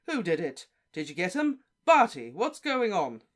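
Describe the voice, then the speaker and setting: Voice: mid-range voice, wildly swooping pitch, takes it slowly, smooth. A reader voicing a flustered middle-aged wizard official who exclaims breathlessly. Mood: agitated.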